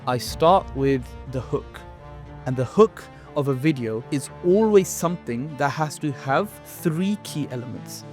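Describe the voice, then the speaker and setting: A man from the UK, a podcast guest, telling his story in a relaxed way, with fillers and restarts. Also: mid-range voice, unhurried, faint music behind. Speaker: guest, content creator. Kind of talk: casual podcast interview.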